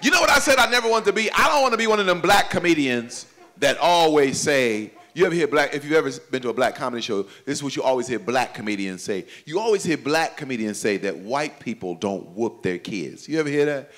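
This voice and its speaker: A man in his forties from doing stand-up comedy at a church celebration, swinging between loud high exclamations and low confiding asides. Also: expressive, quick pace. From Chicago, USA. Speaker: comedian pastor. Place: banquet hall at a church event. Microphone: handheld microphone. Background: audience laughter.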